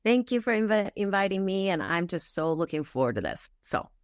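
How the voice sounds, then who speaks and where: A woman's voice: mid-range voice, very animated, fairly quick, no echo, clean studio sound. A middle-aged woman from Japan, a wellness practitioner, earnestly explaining ideas step by step with many fillers. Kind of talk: explanatory interview talk.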